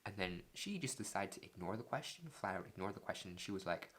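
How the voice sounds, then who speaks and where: A man's voice: sits low, sing-song, fast, a bit bright. A young man telling a story in a casual, joking way and reading text messages aloud, with lots of "like" fillers. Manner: loose and jokey, many "like" fillers. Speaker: vlogger.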